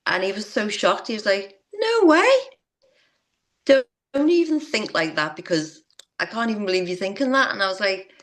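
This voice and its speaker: A woman's voice: medium pitch, big pitch swings, normal speed. A woman telling her own story as an interview guest, earnest and hesitant, with many restarts.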